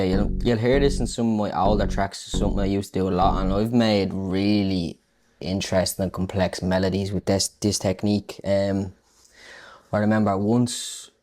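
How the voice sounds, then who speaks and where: A man with a fairly low, dark and relaxed voice talks through a music production tutorial. He is informal and thinks aloud as he works, with faint electronic music behind him. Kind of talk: casual screen-recorded music production tutorial. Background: electronic house music.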